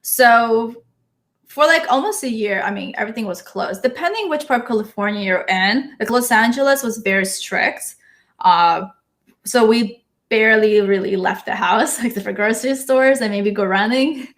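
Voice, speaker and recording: A woman's voice: fairly high pitch, animated, moderate pace, a bit bright. A woman in her thirties from Ukraine, a marketing guest on a podcast who chats casually and laughs often. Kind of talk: casual interview chat.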